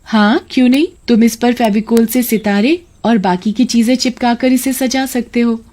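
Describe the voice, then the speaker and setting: A woman's voice: on the high side, moderate pace, mellow, close to an everyday voice. Woman as a caring young village elder sister. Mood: thoughtful. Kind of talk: Hindi cartoon moral story acting.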